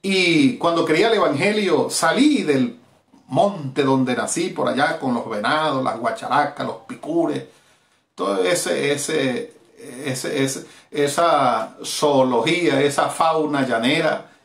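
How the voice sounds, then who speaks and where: Venezuelan male pastor, fervent preaching, fairly high voice, echoey room. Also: slow, vocal fry, a bit bright. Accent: Venezuelan Spanish. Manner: fervent preaching, emphatic repeated "no, señor". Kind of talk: evangelistic sermon streamed live.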